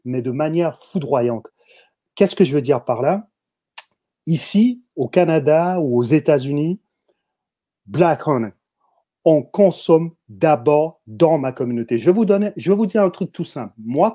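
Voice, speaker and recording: A man with a Parisian accent speaks as a guest in a heated discussion. His voice is soft-toned and a little husky, and he hammers his points home as if lecturing the audience directly. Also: fairly high pitch. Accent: Parisian French. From France. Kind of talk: opinionated discussion commentary.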